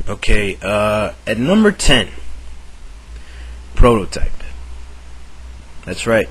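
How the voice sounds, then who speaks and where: A man with a cold and a sore throat, chatting casually to camera in a halting way, full of fillers and restarts. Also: fairly low pitch, big pitch swings, fairly bright tone, a little hiss or hum, poor audio. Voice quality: raspy. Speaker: video maker.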